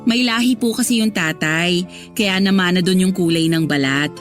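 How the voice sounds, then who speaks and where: A woman's voice: fairly low pitch, moderate pace, close to an everyday voice. An actress playing a polite young pregnant Filipino woman in a Tagalog radio drama, patient but standing firm. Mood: gentle. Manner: respectful, gently protesting. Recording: music under the voice.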